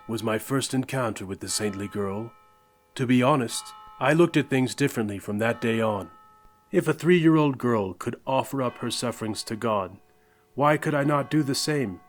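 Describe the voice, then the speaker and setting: A man's voice: medium pitch, natural voice. A man playing a kindly, devout old doctor in an audio drama, speaking in an earnest, courteous way. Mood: reflective.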